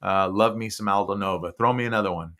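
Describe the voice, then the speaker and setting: A man's voice: fairly low pitch, fast, a bit bright. A man hosting a casual podcast, chatty and enthusiastic as a music fan. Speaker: podcast host.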